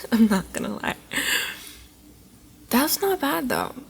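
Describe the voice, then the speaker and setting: A woman playing a tipsy, playful college girl in an intimate ASMR roleplay, rambling and teasing affectionately, often soft and whispery. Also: lowish voice, creaky, slight background noise, heavily acted. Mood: tipsy, amused.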